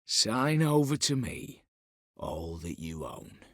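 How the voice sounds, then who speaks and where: An actor playing a shrewd, vengeful heist mastermind in a video game, speaking in a clipped, commanding way with archaic turns of phrase. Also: male voice, sits low, very animated, takes it slowly, vocal fry, fairly bright tone, no room sound, clean studio sound, exaggerated voice. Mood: bitter, menacing. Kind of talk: dramatic video game voice acting.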